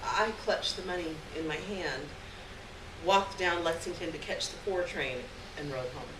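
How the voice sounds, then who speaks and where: A woman's voice: fairly low pitch, measured pace. Woman reading fiction aloud, echoey distant sound. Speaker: reader of a short story on stage. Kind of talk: expressive live literary reading to an audience. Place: indoor stage reading before a live audience. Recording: echoey, noisy live room, distant mic.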